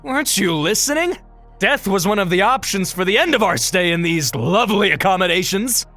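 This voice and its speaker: A man acts an anxious, sarcastic human captive in an audio space comedy. His voice is high, sharp and jumps around with exasperation. There is faint music behind it. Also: expressive, a little fast. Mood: exasperated. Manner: panicky, sarcastic. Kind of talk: audio comedy drama acting.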